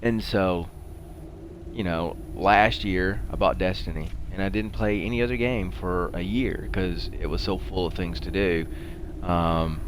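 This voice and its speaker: A middle-aged man giving casual, rambling commentary while he plays a video game. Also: deep voice. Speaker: gaming show host. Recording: faint background noise.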